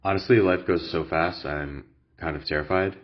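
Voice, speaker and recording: Very deep-voiced man, rambling vlog. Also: steady tone, moderate pace, highs cut off. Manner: hesitant, rambling, many fillers. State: has a cough. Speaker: vlogger. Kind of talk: casual reflective vlog. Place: new apartment.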